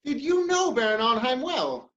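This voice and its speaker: A woman's voice: high-pitched, sing-song, normal speed. A woman playing a courteous, curious male politician in a period stage comedy, speaking formally on a slightly dull microphone. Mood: polite, probing.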